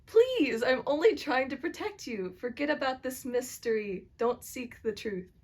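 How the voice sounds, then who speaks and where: A woman playing an anxious, protective queen who pleads gently but urgently, in an amateur puppet show. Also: high-pitched, expressive, measured pace, slightly rough, full character voice. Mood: worried pleading.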